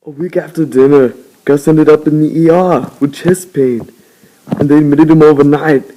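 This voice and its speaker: A man's voice: fairly high pitch, steady tone, normal speed, warm tone, poor audio. A man narrating a novel aloud as an amateur reader.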